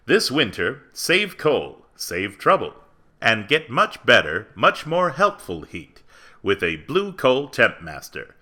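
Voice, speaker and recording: An actor playing an earnest 1940s radio announcer, reading a commercial with emphatic salesmanship. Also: male voice, lowish voice, sharp tone, exaggerated voice. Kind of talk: 1940s radio advertisement read.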